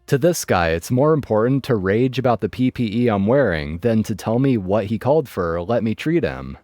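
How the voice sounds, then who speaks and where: Lively low-voiced male story narrator. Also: normal speed, dry recording, clean studio sound, faint music behind. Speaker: narrator reading online stories.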